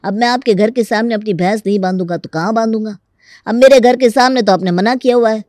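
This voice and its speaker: A man's voice: sits high, fast talker, a little mellow, dry recording, clean studio sound, natural voice. An actor playing a stubborn, crafty young village man who rents a room, folksy and mock-polite as he argues in a comic story. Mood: folksy, mock-innocent. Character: stubborn, crafty young rural male tenant with cattle. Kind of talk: Hindi comic story dramatization.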